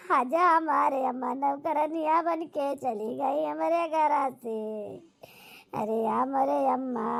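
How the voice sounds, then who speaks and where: A woman's voice: high voice, creaky, heavily acted. A woman voicing a guilt-stricken daughter in a cartoon melodrama, crying and wailing remorsefully with an Awadhi accent. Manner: wailing, drawn-out lament.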